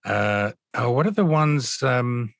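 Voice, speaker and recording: A man's voice: medium pitch, animated, slow pace, vocal fry, no room sound, clean studio sound. A middle-aged man with a mid-Atlantic American accent, chatting as a podcast guest, rambling with fillers and restarts. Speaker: voice actor guest. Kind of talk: casual podcast chat.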